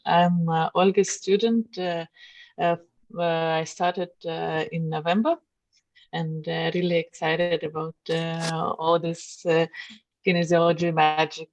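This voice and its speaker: Slow, hesitant woman from Belgium. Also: low voice, little pitch movement, darkish tone, dry recording. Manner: hesitant, lots of pauses and fillers. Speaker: student. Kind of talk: casual self-introduction. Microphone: video call.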